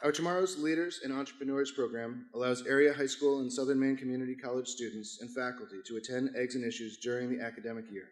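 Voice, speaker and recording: Hoarse man announcing in an echoey hall. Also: medium pitch, steady tone, fast talker, few pauses. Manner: formal scripted announcing with occasional stumbles. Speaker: chamber of commerce president hosting a business forum. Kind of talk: reading sponsor announcements at a breakfast event. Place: large event hall.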